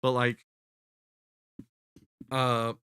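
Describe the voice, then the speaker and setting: A man's voice: mid-range voice, monotone, very slow, pushed and bright, clean tone, no echo, clean studio sound. A man commentating casually on a live stream, sounding congested, rambling with lots of fillers and swearing. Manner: rambling, profane, full of fillers and restarts.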